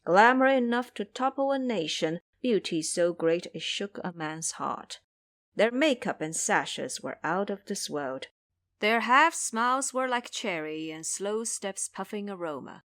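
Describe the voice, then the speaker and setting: A woman's voice: mid-range voice, expressive, measured pace, fairly bright tone. A woman narrator telling a story in a dramatic storybook style for an audio drama.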